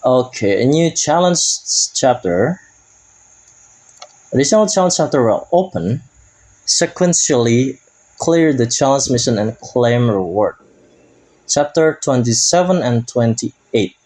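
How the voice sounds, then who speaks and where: Man, gaming YouTuber, casual update commentary, unhurried, halting English, mid-range voice. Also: mid-range voice, animated, darkish tone, poor audio. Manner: halting, searches for words in English, chuckles.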